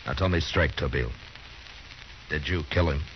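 Very deep-voiced stern frontier lawman. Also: normal speed. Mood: firm, stern. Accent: American. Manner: terse, commanding. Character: stern, steady frontier lawman in the Old West. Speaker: actor playing a US marshal. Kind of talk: 1950s radio western acting. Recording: muffled, noisy 1950s radio recording.

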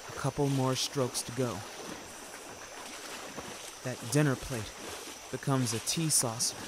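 A man reading a scripted first-person fantasy story in a measured, deliberate way. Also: mid-range voice, noisy background. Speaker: audio story narrator.

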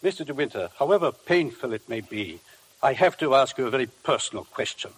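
Formal coroner, husky voice. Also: male voice, mid-range voice, lively intonation, poor audio. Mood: formal, probing. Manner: formal, measured questioning. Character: formal, probing male coroner at an inquest. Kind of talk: 1950s radio drama acting.

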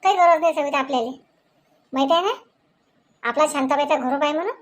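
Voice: high-pitched, fast, close to an everyday voice. A performer voicing a stern, domineering village husband and father-in-law in a comedy cartoon, with a Varhadi Marathi accent, repeating questions as demands. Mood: scolding. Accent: Varhadi Marathi from Vidarbha.

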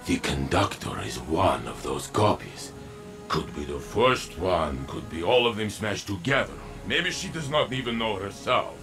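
A gentle machine warrior from a video game, speaking in a deep voice. He is slow and halting, yet his pitch moves with lively, heartfelt emphasis. Music plays behind him. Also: full character voice. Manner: halting, simple phrasing with emphatic pauses. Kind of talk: video game character dialogue.

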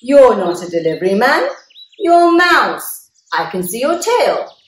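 A woman's voice: medium pitch, slow, roomy sound. A woman voicing a grumpy, stubborn male bear who refuses everything firmly. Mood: stern. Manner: firm, flat refusals.

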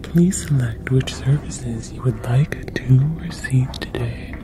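A woman voicing a calm, courteous alien psychic in a soothing, breathy ASMR whisper with formal phrasing. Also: a bass voice, slow. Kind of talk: ASMR roleplay.

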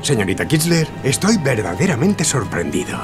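An actor playing a courteous, flattering movie magnate in an audio drama, formal and a little hesitant. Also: male voice, medium pitch, moderate pace, music in the background, natural voice. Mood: impressed, wistful.

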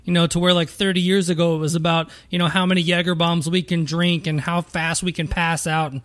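A man's voice: fairly high pitch, level tone, a little fast, fairly bright tone, no echo. A man in his late thirties hosting a podcast, asking long rambling questions in a chatty way. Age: 30s. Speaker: podcast host. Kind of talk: casual interview talk.

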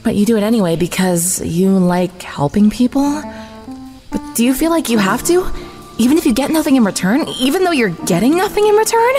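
Lonely snake creature girl woman actor, possessive, childlike, animated, audio drama over music. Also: a little high, brisk. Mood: curious, playful.

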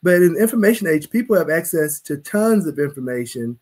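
A man's voice: on the high side, expressive, fairly quick, darkish tone. A middle-aged man, a physician, explaining emphatically on a live video-call panel from a home office. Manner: emphatic, explanatory, gesturing with hands. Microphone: video call webcam.

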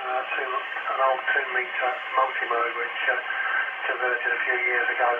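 A man rambling casually and haltingly over an amateur radio transmission. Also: mid-range voice, completely flat delivery. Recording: very noisy, narrow phone-like radio relay. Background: loud radio static.

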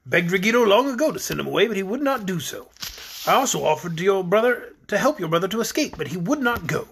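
A man's voice: high-pitched, animated, fast, gravelly, a bit bright, slight background noise, heavily acted. A man voicing an obliging, modest gnome chamberlain in a storybook, with a deferential, hesitant manner. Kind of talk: storybook character voice.

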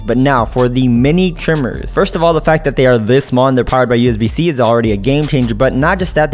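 A young man in his twenties, a barber and video host, reviewing products in an animated, exclaiming way, full of slang. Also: medium pitch. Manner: animated, exclaiming, slangy ("bro", "what the heck"). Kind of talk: excited product unboxing and review. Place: indoor studio set at a table.

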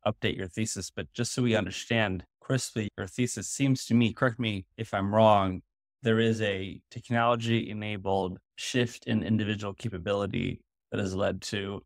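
Male interviewer, slightly low voice, measured wording, courteous and precise, dry studio-style sound. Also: steady tone, no echo. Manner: precise, politely checking his understanding. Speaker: podcast host, interviewer. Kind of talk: considered interview questions.

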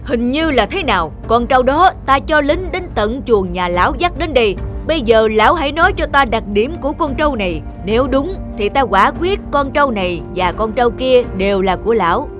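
A man's voice: extremely high pitch, animated, a little fast, few pauses, over music. A man playing a stern, shrewd magistrate with a formal, authoritative manner in a cartoon folk tale. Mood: commanding. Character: stern, shrewd male mandarin judge.

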